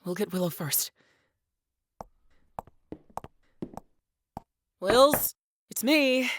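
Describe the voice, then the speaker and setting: An actress playing a forceful, protective woman in an audio drama, urgent and demanding. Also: medium pitch, lively intonation, a little slow, a little hiss or hum, natural voice. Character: protective, forceful, sweary woman, fiercely determined.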